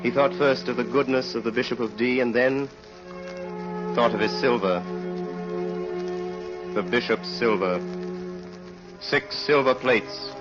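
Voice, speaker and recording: A man narrating an old radio drama as a solemn, brooding storyteller, measured and rhetorical. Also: mid-range voice, lightly acted. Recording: muffled old radio recording with music.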